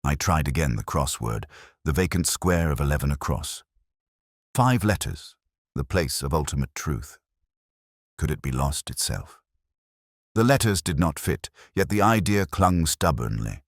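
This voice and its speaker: A man reading a literary detective story in the first person, with a measured, solemn and reflective delivery. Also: rumbling low, sing-song, no echo, studio quality. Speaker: audiobook narrator.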